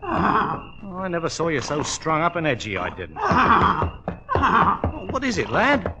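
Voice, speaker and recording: An actor playing an honest, plain-spoken working-class English jockey in a 1940s radio drama, earnest and colloquial. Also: male voice, a little high, huge pitch swings, measured pace, exaggerated voice. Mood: soothing. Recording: very noisy old radio recording.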